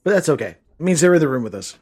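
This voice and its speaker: A man co-hosting a casual movie commentary podcast, with a slightly raspy voice and dry, joking asides. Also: fairly high pitch, big pitch swings, very fast, darkish tone. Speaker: podcast co-host.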